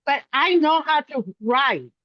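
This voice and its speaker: A woman's voice: high-pitched, lively intonation, slow pace, no room sound, lo-fi sound. A woman from Puerto Rico with a thick Puerto Rican Spanish accent, chatting animatedly as an interview host. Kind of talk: casual interview chat.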